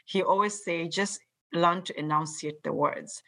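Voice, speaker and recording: A Kenyan woman with a fairly low voice speaks as a panel guest. She talks in a measured, deliberate way and sounds out each word with care. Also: a bit bright. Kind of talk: panel discussion.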